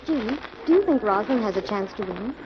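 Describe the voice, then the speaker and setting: A woman's voice: medium pitch, lively intonation, a little fast, natural voice. A woman playing an eager young assistant to a crime fighter in a 1940s radio drama. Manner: bubbly, eager. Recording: noisy, muffled old radio recording, crowd murmur.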